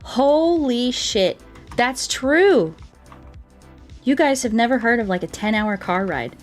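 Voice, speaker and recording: An American woman chatting casually as she streams a game, telling things in an animated way and repeating herself for emphasis, with music behind her. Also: fairly high pitch, takes it slowly. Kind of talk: casual chat while playing a game, reading viewer comments.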